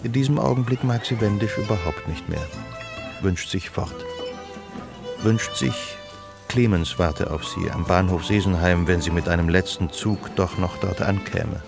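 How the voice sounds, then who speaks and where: An actor narrating as a detached, observant storyteller in a scripted literary radio drama. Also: male voice, low voice, measured pace, vocal fry, music in the background. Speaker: third-person narrator.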